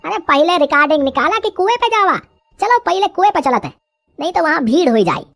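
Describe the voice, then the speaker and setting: A woman voicing a cocky, rustic village husband in an animated story, drawling with a Bhojpuri accent. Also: extremely high pitch, brisk, vocal fry, no echo, soft background music, full character voice. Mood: pondering.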